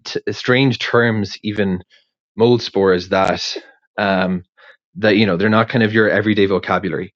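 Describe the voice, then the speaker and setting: A man's voice: lowish voice, no room sound. A native English-speaking man rambling casually into a voice recorder, hesitant and circling back over his words. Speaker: podcast creator sending in a question. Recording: dull, dry lo-fi voice recording.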